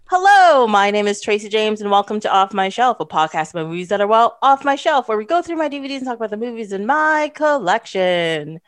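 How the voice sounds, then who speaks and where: A woman's voice: mid-range voice, sing-song, fairly quick, hardly any pauses. A woman in her thirties from Canada, hosting a podcast and chatting casually, laughing often and using lots of fillers. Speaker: podcast host. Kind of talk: casual chat.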